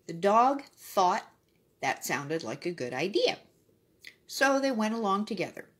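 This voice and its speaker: A woman tells a children's story with very animated, swinging intonation. Her voice has a husky edge and she drops in brief casual asides. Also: medium pitch, measured pace.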